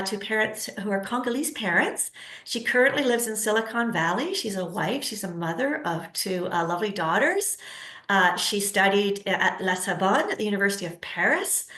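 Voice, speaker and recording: Bright-voiced woman hosting an online class. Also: mid-range voice, roomy sound. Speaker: host. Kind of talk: live online class interview. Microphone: video call.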